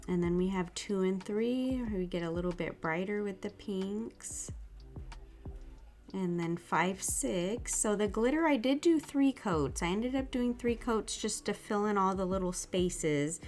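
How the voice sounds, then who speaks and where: Slightly sick lady reviewing nail stuff, soft and gushy, sore throat, easy pace. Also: medium pitch, lively intonation, soft background music. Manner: soft, gushing, unhurried. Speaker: nail art channel host. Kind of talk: casual product review chat.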